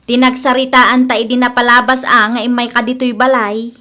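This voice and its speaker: A woman's voice: on the high side, flat delivery, brisk, bright, forceful tone. An actress playing a frank, chiding female friend who gives advice in a radio drama, matter-of-fact in manner. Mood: chiding, knowing.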